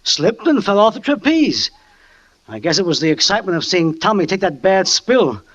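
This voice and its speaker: A jumpy, evasive circus manager. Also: male voice, high-pitched, big pitch swings, normal speed, a bit bright, exaggerated voice. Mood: defensive. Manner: defensive, stammering. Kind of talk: 1940s radio acting. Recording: dull old radio recording.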